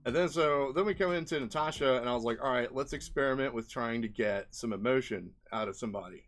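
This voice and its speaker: A man's voice: medium pitch, fast, a bit bright, soft background music. A man giving casual commentary as an indie game developer, rambling and thinking aloud as he goes. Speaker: indie game developer presenting his experiments. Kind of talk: casual screen-share commentary.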